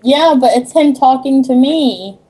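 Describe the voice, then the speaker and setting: Amateur female reader, mellow tone, a touch high, casual script reading. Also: animated, unhurried, low-quality recording. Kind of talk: casual read-along with acted lines.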